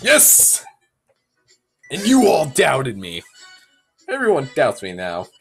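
A young man giving goofy, self-mocking commentary while playing a game, sick and sounding deeper than usual. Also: wildly swooping pitch, slow pace, creaky, faint music behind. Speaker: gamer. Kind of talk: casual gameplay commentary.

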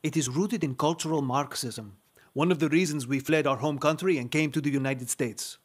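An actor playing an earnest, firm immigrant man with a husky voice in an audio drama. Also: fairly high pitch, moderate pace. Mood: warning.

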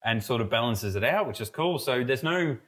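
A man's voice: medium pitch, brisk. A young man co-hosting a podcast from an indoor brewery, chatting casually with lots of fillers and restarts as he tastes a homebrew. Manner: relaxed, rambling, many fillers and restarts. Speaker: podcast co-host, mead brewer. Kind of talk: casual tasting chat. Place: brewery room with steel tanks, indoors.

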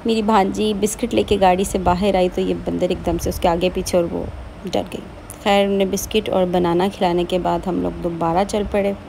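A woman's voice: mid-range voice, vocal fry, warm tone, a little hiss or hum, poor audio. A woman narrating a casual travel vlog, chatty and keen to recommend places to her viewers. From Pakistan. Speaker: travel vlogger.

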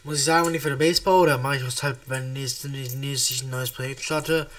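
A man's voice: mid-range voice, fairly even intonation, brisk, a bit bright, a little hiss or hum. Young man, has a cold, rambling casual chat, slightly distant mic. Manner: rambling, many restarts and fillers. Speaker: reaction streamer. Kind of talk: casual reaction commentary.

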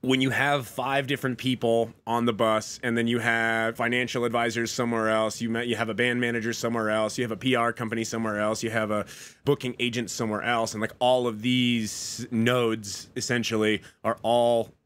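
A man's voice: medium pitch, little pitch movement, fairly quick, fairly bright tone. Casual young man, chatty and rambling. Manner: casual, rambling with many fillers. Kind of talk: video call interview. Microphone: studio mic on stand.

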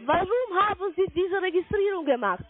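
A woman with a foreign accent in German on a sales call, halting and insistent with her questions. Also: very high-pitched, lively intonation, a crowd in the background. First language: not German. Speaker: call center sales agent. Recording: narrow-band phone line.

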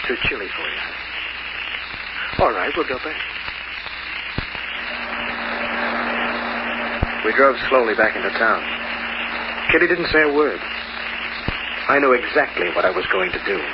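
An actor playing a clever, vengeful safecracker in an old-time radio drama, taunting and theatrical. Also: male voice, medium pitch, expressive, normal speed, long pauses, lightly acted. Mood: cold. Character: clever, menacing, vengeful safecracker narrating his downfall. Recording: muffled, very noisy old radio recording.

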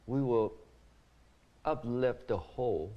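A man's voice: medium pitch, slow, lo-fi sound. A middle-aged man from Taiwan, a community founder, answering interview questions earnestly in an ornate living room. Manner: animated, gestures with hands while explaining.

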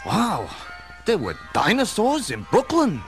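Shy, high-voiced young guy. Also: wildly swooping pitch, unhurried, vocal fry, fairly bright tone, soft background music, heavily acted. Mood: eager. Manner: stammering, earnest. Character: shy, awkward, eager young Brooklyn man. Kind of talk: radio play acting.

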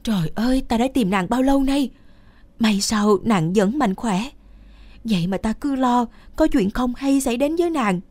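A woman voicing a devoted, poor young husband in an animated fairy tale, earnest and pleading. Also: fairly high pitch, normal speed, no echo. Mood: relieved, astonished.

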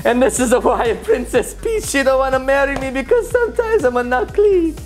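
Excitable cartoon plumber, mock Italian accent, high pitch, broken grammar, playful scolding, light music underneath. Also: female voice, moderate pace. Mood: chatty, playful. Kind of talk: playful toy unboxing in character.

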